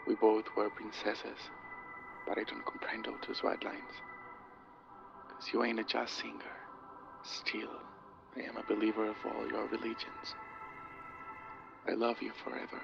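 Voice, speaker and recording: Gravelly, flat-toned man reciting over music. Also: fairly low pitch, unhurried, pauses often. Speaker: poetry reader. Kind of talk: spoken-word poetry reading. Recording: dull sound under prominent music.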